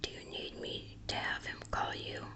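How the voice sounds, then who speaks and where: A matronly small-town postmaster , played by a woman reader, chats in a bright whisper. She is folksy, gossipy and full of teasing. It is storybook dialogue, all breath and no voice. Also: moderate pace, lightly acted. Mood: folksy, helpful.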